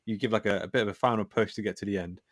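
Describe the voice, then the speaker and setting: Male podcast guest, lowish voice, close dry sound, candid and reflective, conversational. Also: fairly even intonation, racing, no echo. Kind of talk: casual interview conversation.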